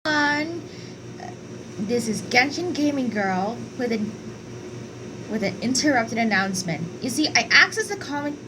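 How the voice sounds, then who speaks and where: A woman giving casual playthrough commentary as a gaming channel host, halting and repeating her phrases. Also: fairly high pitch, big pitch swings, noisy background. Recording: game music behind the voice. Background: game music.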